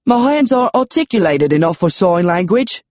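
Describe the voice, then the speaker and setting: Man playing a huffy British T-Rex, raised pitch. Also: very animated, moderate pace, a little mellow, dry recording, clean studio sound. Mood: matter-of-fact. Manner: prim, huffy. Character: dignified, huffy orange Tyrannosaurus Rex, five feet tall.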